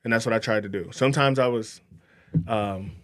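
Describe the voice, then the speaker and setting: A man's voice: fairly low pitch, moderate pace. A man in his thirties, a guest on a podcast, talking casually in a confident, emphatic, motivational way. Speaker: podcast guest, mortgage banker. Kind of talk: casual interview talk.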